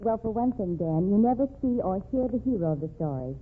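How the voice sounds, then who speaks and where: A woman publisher in a vintage radio show, played by an actress, speaking scripted dialogue with very animated intonation. The old recording is narrow and tinny, with faint noise. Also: mid-range voice, fast, natural voice. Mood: explaining. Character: woman co-owner of a mystery publishing firm.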